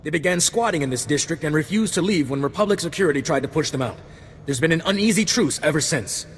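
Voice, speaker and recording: An actor playing an eager, dutiful junior army corporal in a video game, briefing with crisp military deference. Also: male voice, on the high side, lively intonation, quick pace, lightly acted. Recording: long reverb with faint noise.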